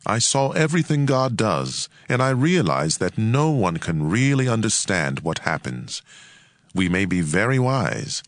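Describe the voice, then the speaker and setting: A man reading scripture as a dramatized audio Bible narration, in a measured and expressive way. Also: low-pitched, dry recording.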